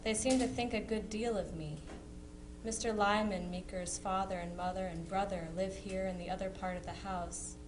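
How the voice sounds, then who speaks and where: A woman's voice: mid-range voice, steady tone, moderate pace, close to an everyday voice. Woman playing a contented sister, noisy recording. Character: contented young 1860s woman writing cheerfully to her brother. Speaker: amateur reader in a historical society dramatization. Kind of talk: reading period letters aloud.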